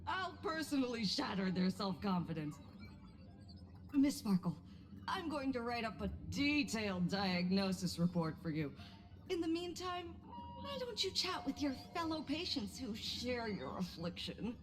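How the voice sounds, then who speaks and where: A woman voicing a teasing, smug female doctor in a video game, speaking in a playful, theatrical and deliberate way. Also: on the high side, very animated, slight background noise. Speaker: game character voice actor. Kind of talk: video game character acting. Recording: background music under the voice.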